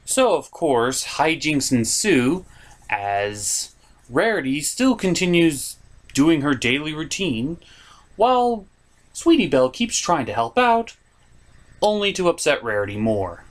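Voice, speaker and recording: A man with a slightly high, lively voice delivers a scripted comic review. His pitch moves around a lot and his manner is sarcastic. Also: slow pace. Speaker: reviewer, host. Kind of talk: scripted comedic episode review.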